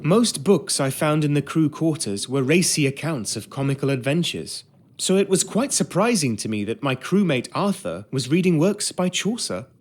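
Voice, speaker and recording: Courteous period steward, reflective game narration, measured manner, higher male register, expressive intonation. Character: polite, reflective ship's steward narrating his memoir. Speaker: actor in a narrative adventure game. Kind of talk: scripted first-person period narration.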